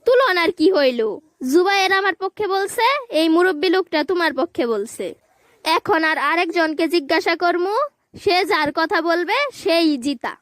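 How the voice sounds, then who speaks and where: A woman's voice: extremely high pitch, lively intonation, unhurried, no echo, clean studio sound. A woman voicing a stubborn, cheeky child in a cartoon, arguing back in colloquial speech. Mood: argumentative. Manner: protesting, argumentative, colloquial. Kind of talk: cartoon voice acting.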